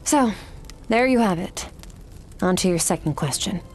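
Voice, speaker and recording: A woman playing a cool, aloof otherworldly swordmaster in a video game, stoic and blunt, with a measured, detached delivery. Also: mid-range voice, expressive, soft background music. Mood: solemn, determined. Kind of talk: video game character dialogue.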